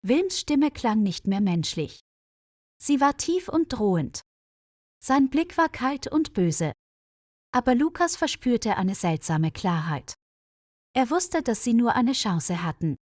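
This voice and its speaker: A woman's voice: on the high side, very animated, takes it slowly, darkish tone, no echo, clean studio sound. A woman reading a scripted story aloud as a storyteller for language learners.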